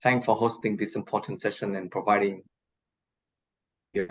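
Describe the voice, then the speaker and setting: A man who is not a native English speaker, giving a lecture and answering questions on a video call, halting with many fillers and restarts. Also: lowish voice, steady tone, lo-fi sound, a crowd in the background. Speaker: guest lecturer. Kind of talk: online lecture Q&A.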